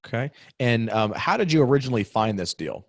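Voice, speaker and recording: A man in his thirties hosting an interview podcast from a home office on a desk mic, upbeat and quick. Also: mid-range voice, very animated, dry recording, studio quality. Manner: upbeat, quick, scripted-sounding intros. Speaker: podcast host. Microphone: desk podcast mic on video call.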